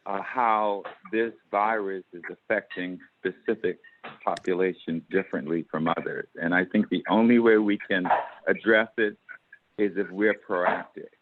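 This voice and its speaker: A male council member speaking earnestly and insistently in a meeting, repeating words haltingly, over a phone conference line. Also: on the low side. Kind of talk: commenting in a meeting. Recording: tinny phone line, faint noise.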